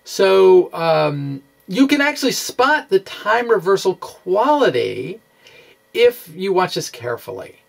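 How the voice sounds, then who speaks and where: A man giving an informal science explanation over animations, speaking haltingly and correcting himself as he goes. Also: sits high, sing-song, vocal fry. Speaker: science video blogger.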